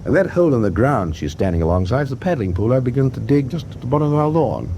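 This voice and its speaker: Mellow British warship captain, animated delivery. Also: male voice, mid-range voice, fast talker, slight background noise. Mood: fond, wistful. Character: weary, reflective male British warship captain, kindly to officers. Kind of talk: naturalistic wartime radio drama acting.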